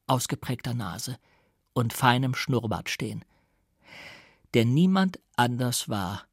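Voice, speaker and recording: Male audiobook narrator, mid-pitched: dramatic, deliberate, emphatic literary reading, dry studio sound. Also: creaky, slightly rough, dry recording.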